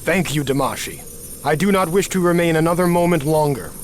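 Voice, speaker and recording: An actor playing an earnest wandering warrior champion in a video game, speaking politely and formally. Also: male voice, a little high, a little fast, close to an everyday voice. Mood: dutiful. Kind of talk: video game cutscene acting. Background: music.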